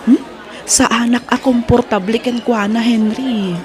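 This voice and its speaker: A woman's voice: on the high side, steady tone, measured pace, crowd noise, close to an everyday voice. A woman with a Filipino accent playing a coy, demanding mistress who poses as a caring friend in a radio comedy drama. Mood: coy, complaining.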